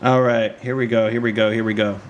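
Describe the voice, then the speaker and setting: A man's voice: on the low side, flat delivery, a little fast, muffled recording, slight background noise. A man casually chatting as a podcast host, joking, swearing freely and laughing often.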